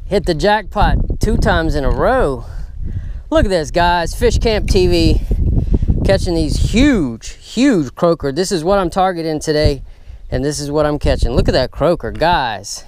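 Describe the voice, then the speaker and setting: Guy narrating his fishing trip outside, voice a bit high, lots of outdoor noise on the camera mic. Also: unhurried. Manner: excited, talks straight to viewers. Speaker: fishing channel host. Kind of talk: casual fishing vlog narration. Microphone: handheld camera mic. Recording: outdoor camera audio, clear background noise.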